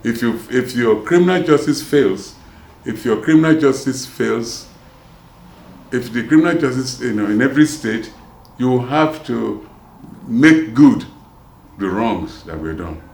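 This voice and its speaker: Older Nigerian-born man with a blended accent, rambling interviewee, gravelly voice, roomy echo. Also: medium pitch, expressive, unhurried, a little mellow. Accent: mixed Nigerian and American. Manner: rambling, with many restarts and trailing asides. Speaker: interviewee, former prison officer. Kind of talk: casual street interview.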